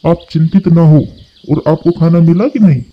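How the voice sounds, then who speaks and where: A man's voice: fairly high pitch, normal speed. A man playing a kind, reassuring brotherly crow in a Hindi cartoon. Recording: slightly dull, lo-fi sound.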